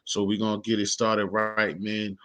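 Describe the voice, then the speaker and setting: A man hosting an interview, asking laid-back, halting questions and often saying "man". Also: fairly low pitch, monotone, a bit bright. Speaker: interview host. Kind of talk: casual interview chat.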